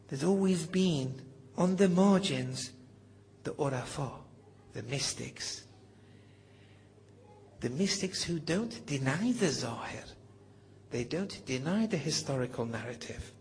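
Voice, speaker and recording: Man, religious lecture, very slow delivery, slightly raised pitch, hushed whispered asides, faint background hum. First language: not Farsi (Farsi is his second language). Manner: slow and deliberate, drops to whispers for emphasis. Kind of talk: devotional lecture reading and explaining texts.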